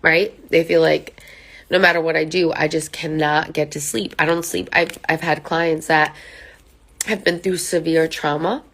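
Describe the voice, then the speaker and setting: Nasal, gravelly-voiced woman counselor. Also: low voice, steady tone, a little slow, a bit bright. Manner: conversational, many fillers and tag questions. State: nasal from a sinus infection. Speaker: mental health counselor. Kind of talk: informal talk to camera from notes.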